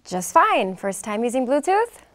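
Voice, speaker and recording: A woman's voice: on the high side, very animated, measured pace, fairly bright tone. Woman acting poised, teasing businesswoman. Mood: teasing, businesslike. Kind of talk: screenplay table-read acting.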